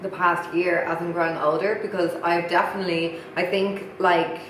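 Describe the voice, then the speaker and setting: A woman's voice: lowish voice, flat delivery, normal speed, fairly bright tone, recorded from a distance, a little hiss or hum. A woman in her thirties co-hosting a podcast, chatting frankly in a rambling way with lots of fillers. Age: 30s. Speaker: podcast co-host. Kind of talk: casual chat. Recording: very echoey room.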